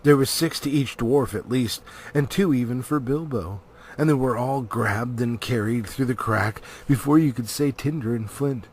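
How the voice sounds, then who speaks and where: A man narrating a storybook as an amateur reader, theatrical, with drawn-out words and pauses. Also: medium pitch, husky. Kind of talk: dramatic storybook reading.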